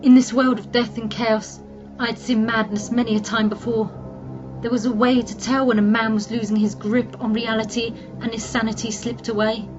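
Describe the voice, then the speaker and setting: Music runs under a slightly dull recording of a woman playing a human alchemist trapped and determined to survive. She narrates in the first person with grave, theatrical delivery and a fairly high pitch, in gothic fantasy audio drama style. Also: little pitch movement, normal speed, natural voice. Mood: grim dismay.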